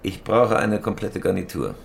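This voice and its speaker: A man's voice: deep voice, sing-song, moderate pace, vocal fry, slight background noise, heavily acted. A man playing a cool, hard-nosed professional robber in a German radio crime drama, terse and businesslike. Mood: calm, sly. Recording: loud music bed.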